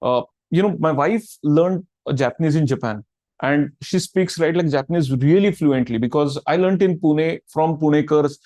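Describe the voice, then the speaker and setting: Middle-aged man, slightly high voice, dry close sound, chatty Q&A speaker who leans on "right, like". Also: moderate pace, mellow, dry recording. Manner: filler-heavy, repeats "right, like". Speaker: guest speaker answering questions. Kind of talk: casual Q&A talk.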